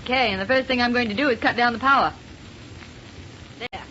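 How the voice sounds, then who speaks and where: An actress playing an alert, capable young co-pilot, spirited and matter-of-fact, in 1930s radio acting style. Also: female voice, on the high side, brisk, bright, forceful tone. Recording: muffled, hissy 1930s radio recording.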